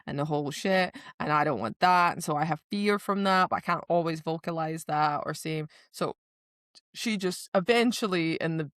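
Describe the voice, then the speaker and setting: A woman's voice: sits low, moderate pace, a bit bright, no echo, clean studio sound. A woman co-hosting a podcast in a casual book discussion, with wry, joking asides. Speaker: podcast co-host.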